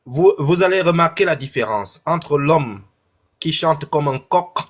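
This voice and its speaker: A high-pitched man with a Cameroonian French accent argues in a heated online debate over a video call. He lectures with emphasis and hammers the same phrases again and again. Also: expressive, a little slow.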